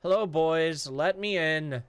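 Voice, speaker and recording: A man voicing a sincere, stubborn male hunter who speaks in slow, flat, matter-of-fact lines. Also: a little high, smooth, no echo, pitched above the performer's own voice. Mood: blunt.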